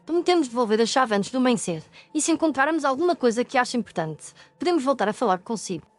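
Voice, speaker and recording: A woman's voice: high-pitched, sing-song, fast talker. A woman playing a determined, curious young ghost-story podcaster, earnest and articulate, with a European Portuguese accent. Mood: earnest, polite. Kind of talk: audio ghost story acting.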